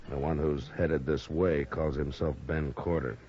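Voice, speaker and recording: Stern, very deep-voiced frontier marshal. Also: male voice, measured pace, a little hiss or hum. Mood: stern, calm. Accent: American. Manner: terse, deadpan, authoritative. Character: stern, laconic frontier town marshal, adult man. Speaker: lead actor and narrator of a western radio drama. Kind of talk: 1950s radio drama acting. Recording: muffled 1950s radio recording, faint music.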